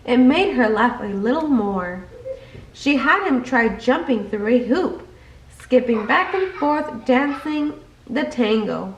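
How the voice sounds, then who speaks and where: Slow-paced woman reading in an echoey room. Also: fairly high pitch, expressive, slight background noise. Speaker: storybook reader. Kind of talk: expressive children's bedtime story narration.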